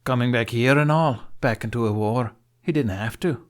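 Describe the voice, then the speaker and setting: Man acting blunt defensive blacksmith, pitched up. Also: normal speed, lightly acted. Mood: challenging, defensive. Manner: colloquial, challenging.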